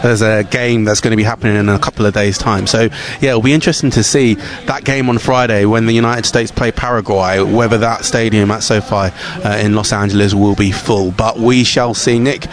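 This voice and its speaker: A man presenting the news live, slightly hoarse, loose and hesitant with fillers. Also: on the low side, brisk, few pauses. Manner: relaxed, hesitant with many fillers.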